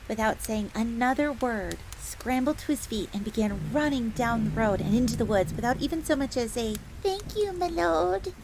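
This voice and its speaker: A woman's voice: fairly high pitch, lightly acted. A woman playing an earnest, devoted young fox maid in a scripted fantasy roleplay audio drama, bookish and speaking of herself in the third person. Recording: steady ambient noise, crackling fire.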